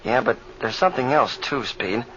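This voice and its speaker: A man's voice: mid-range voice, normal speed, fairly bright tone, close to an everyday voice. A man playing an earnest, eager young pilot in an old radio drama, on a muffled, hissy recording. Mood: earnest concern.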